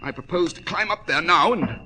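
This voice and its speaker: An actor playing a keen, self-assured gentleman detective with a British accent and clipped, precise, commanding delivery, in 1940s radio style. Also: a little high, lively intonation, normal speed, breathy edge, exaggerated voice. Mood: brisk, intent.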